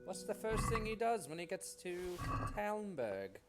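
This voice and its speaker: A man's voice: high-pitched, very animated, a little slow, loud music behind the voice. A man giving casual, rambling, joking commentary as he plays a video game. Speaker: gamer, let's play host.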